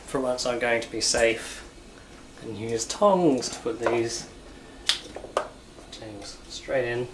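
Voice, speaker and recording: A man's voice: medium pitch, takes it slowly, long pauses, heavy background noise. A man demonstrating a recipe at home, chatting casually while he works at the stove. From United Kingdom. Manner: relaxed, chatty, talks while working. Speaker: cooking show chef. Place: home kitchen. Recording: echoey kitchen with loud sizzling. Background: frying and sizzling.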